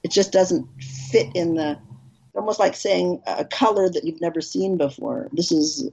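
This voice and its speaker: A woman film director, an interview guest, telling animated stories in casual conversation with frequent restarts. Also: moderate pace, muffled recording. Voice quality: husky, low.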